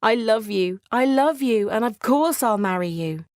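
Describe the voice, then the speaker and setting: A woman's voice: a little high, expressive, a little slow, a little mellow, no room sound, studio quality, voice pushed up. Woman as earnest, tender storybook heroine Beauty. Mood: loving. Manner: earnest, emotional.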